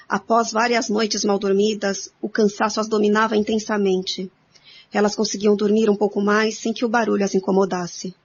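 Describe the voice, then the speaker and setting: A woman's voice: mid-range voice, a little hiss or hum. A woman narrating a radio serial from a script in a storytelling style. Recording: dull recording with music.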